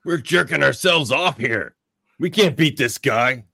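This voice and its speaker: An actor playing a gruff, irritable and sardonic superhero brawler in a live radio theatre reading. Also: male voice, mid-range voice, lively intonation, measured pace, sharp tone, dry recording, studio quality, full character voice. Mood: irritated.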